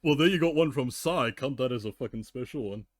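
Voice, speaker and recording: A man's voice: mid-range voice, a little fast, no echo, clean studio sound. A man reading fan fiction on a livestream, moving between storybook narration and profane, joking casual asides.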